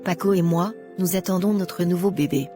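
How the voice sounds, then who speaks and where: A woman playing a devout, supportive young friend in a cartoon drama, gently admonishing. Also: lowish voice, moderate pace, music in the background, close to an everyday voice. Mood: gentle exhortation.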